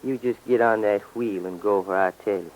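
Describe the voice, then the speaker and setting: A man's voice: fairly low pitch, brisk, natural voice. An actor playing a cocky, menacing young crook with slangy, taunting tough-guy talk in a radio crime drama. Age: young adult. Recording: noisy old radio recording.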